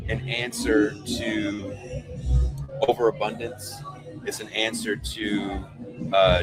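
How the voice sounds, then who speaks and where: Low, booming-voiced man over loud music. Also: low-quality recording. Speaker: podcast host. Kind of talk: casual discussion and opinion. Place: bar.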